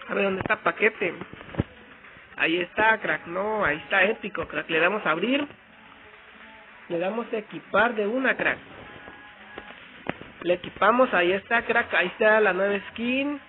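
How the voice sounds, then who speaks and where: A young man, giving casual, slangy gameplay commentary as a youtuber, excitable and repeating himself. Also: sits high, normal speed, long pauses, narrow, tinny audio, background noise. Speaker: gaming youtuber. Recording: game music underneath. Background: game music.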